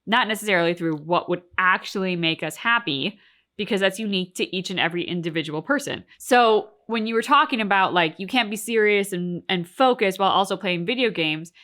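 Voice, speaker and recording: A woman's voice: mid-range voice, expressive, brisk, bright, forceful tone. A woman talking casually and reflectively as a co-host, earnest and talkative, peppering her speech with "like" and "right". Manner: swears casually. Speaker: co-host of a reaction channel.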